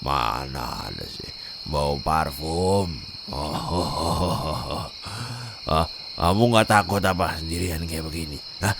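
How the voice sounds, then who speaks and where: Man acting a creaky, slurring drunk street creep. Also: a bass voice, slow, background noise, heavily acted. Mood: drunk, leering. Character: drunk leering male street creep, pushy, later cowed. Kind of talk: roleplay audio drama acting.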